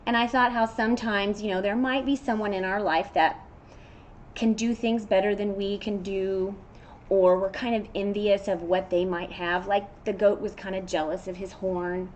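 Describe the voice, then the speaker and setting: A woman's voice: mid-range voice, fairly even intonation, normal speed. A middle-aged American woman talking casually and encouragingly to children, like a teacher during a read-aloud. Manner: encouraging, conversational. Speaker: elementary teacher reading aloud. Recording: clear background noise.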